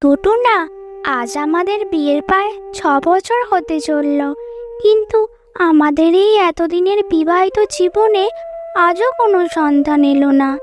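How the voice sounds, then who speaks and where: A woman's voice: extremely high pitch, a little slow, dull audio, faint music behind. A woman voicing a young married bird wife in a children's cartoon, wistful and pleading, with a childlike manner. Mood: sad, yearning.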